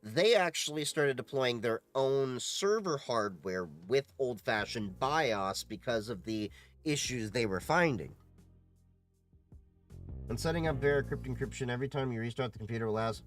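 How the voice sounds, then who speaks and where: A man's voice: lowish voice, normal speed, soft background music. A man hosting a casual livestream, reading chat questions aloud and giving opinionated answers.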